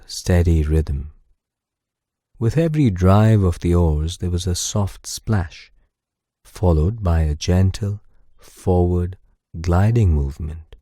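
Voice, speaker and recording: A man narrating a guided sleep meditation and bedtime story in a soothing, gentle, unhurried way, close on the microphone. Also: low-pitched, no room sound. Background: soft music.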